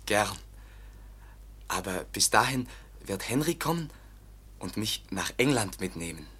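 A man's voice: on the low side, very animated, measured pace, a bit bright, husky, heavily acted. An actor playing a fearful, childlike young man in a radio drama, speaking plaintively and anxiously.

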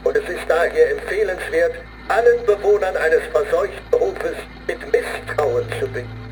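An actor playing a grim zealot who recites a training manual deliberately, like an incantation, in a radio play. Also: male voice, a little high, animated, lightly acted, poor audio. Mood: solemn, ominous. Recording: studio radio play with music underneath. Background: music.

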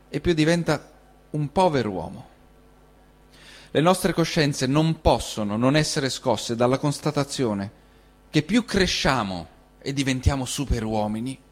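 A man giving a solemn, deliberate, declamatory reading of a literary essay. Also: mid-range voice. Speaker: reader in a radio collective of actors.

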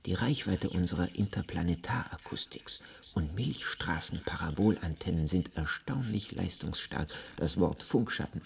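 An actor playing a smug, scheming state official in a radio play, speaking in a measured, pointed way. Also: male voice, sits low, gravelly, husky, exaggerated voice. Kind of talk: German radio play acting. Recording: slightly noisy old radio recording.

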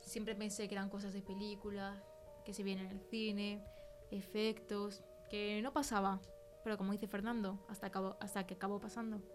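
Young Spanish woman, medium pitch, conversational. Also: little pitch movement, normal speed, music in the background. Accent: Spain Spanish. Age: young adult. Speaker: podcast guest, film producer. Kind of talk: conversational testimony.

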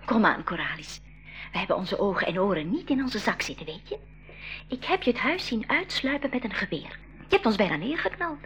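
A woman's voice: medium pitch, big pitch swings, a little fast, a bit bright. An actress in a radio play voicing a bold, cheeky young girl who questions insistently and with spirit. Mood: defiant. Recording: dull 1980s radio play, faint music.

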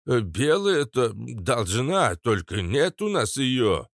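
Мужчина-чтец озвучивает старого лекаря, ворчливого всезнайку со скрипучим голосом, который всё растолковывает. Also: male voice, medium pitch, big pitch swings, slow pace, dry recording, clean studio sound, voice pushed up. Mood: grave. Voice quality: creaky, scratchy. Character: old male healer, grumbling know-it-all explainer.

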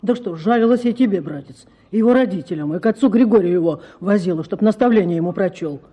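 Radio play: a male actor as a bossy, pious lady who nags and lectures, pitched high, dark tone. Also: fast, husky, lo-fi sound. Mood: complaining. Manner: domineering, lecturing, mocking laugh. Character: bossy pious provincial noblewoman, middle-aged. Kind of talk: classic radio drama acting.

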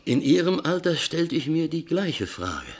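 An actor in a German radio drama playing a careworn, distrustful middle-aged former factory owner, talkative and polite with hesitant pauses. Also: male voice, a little high, big pitch swings, heavily acted. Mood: courteous.

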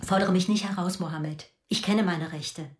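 A woman's voice: on the low side, steady tone, moderate pace, echoey room, natural voice. An actress playing a stern, controlling mother of grown twins in a radio drama, clipped and cold.

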